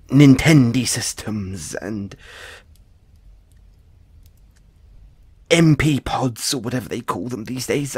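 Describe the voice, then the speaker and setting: A man playing an anxious, apologetic dollmaker in an audio roleplay, stammering and halting as he speaks to the listener. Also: mid-range voice, breathy edge, dry recording. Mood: wistful, grumbling. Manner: stammering, halting, flustered, placating. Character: anxious, apologetic male dollmaker who dabbles in soul magic.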